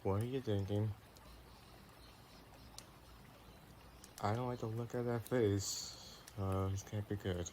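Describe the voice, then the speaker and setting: A man playing an affectionate, playful vampire boyfriend in an intimate roleplay audio, chatting in a gentle, teasing way. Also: lowish voice, steady tone, moderate pace, slight background noise, natural voice. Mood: teasing, reluctant.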